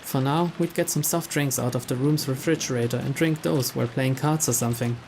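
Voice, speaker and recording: A man reads visual novel narration aloud as host of a playthrough. His voice sits at a middle pitch and he tells the story in a measured way, with the odd small stumble. Faint rain plays behind him. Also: fairly even intonation, gravelly, darkish tone, slight background noise.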